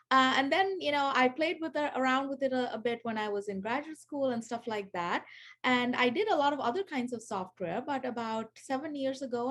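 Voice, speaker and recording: Woman, 40s, from Sri Lanka; easygoing interview guest, somewhat high voice, filler-heavy. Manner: chatty, many fillers and restarts. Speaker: guest, AI education founder. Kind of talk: casual interview over video call.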